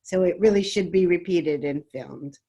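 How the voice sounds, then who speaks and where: A woman's voice: on the low side, moderate pace, mellow, lo-fi sound. A woman chatting and telling an anecdote in a casual way on a video call. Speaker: musician, salon participant.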